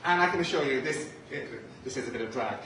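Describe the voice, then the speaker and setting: A man's voice: medium pitch, lively intonation, fast. A man from Liverpool, a drag performance poet, bantering camply with a live crowd and reciting his poem. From Liverpool, England. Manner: camp, flamboyant, chatty with the crowd. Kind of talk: live stage poetry with banter. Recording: echoey room from far off, noisy.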